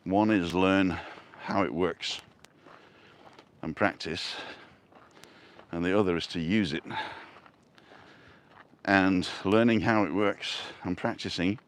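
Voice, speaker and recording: Man, Yorkshire accent, fairly low pitch, casual joking chat, outdoors by the sea. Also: fairly even intonation, slow pace, long pauses. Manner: chatty, joking, reads viewer comments aloud. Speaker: vlog host. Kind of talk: casual live-stream walk chat. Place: outdoors on a seaside walkway.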